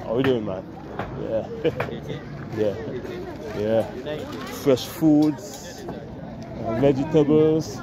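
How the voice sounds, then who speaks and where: A laid-back Caribbean man in his forties talks slowly into a handheld camera as he strolls through a busy open-air market. His voice sits at an ordinary pitch. Clear street noise and passing traffic fill the background. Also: a crowd in the background. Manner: slow, easygoing, friendly greetings to passersby. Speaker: travel vlogger. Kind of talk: casual walking vlog narration. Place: busy outdoor village market. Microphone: selfie camera at arm's length.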